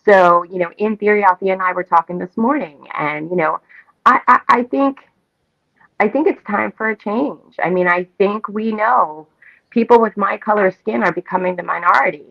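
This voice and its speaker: A woman's voice: medium pitch, moderate pace, creaky, fairly bright tone, dry recording, highs cut off. A woman chatting in an opinionated, emphatic, repetitive way as a talk show co-host. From Staten Island, New York, United States. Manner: emphatic, repetitive, casually profane. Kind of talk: animated opinionated casual chat.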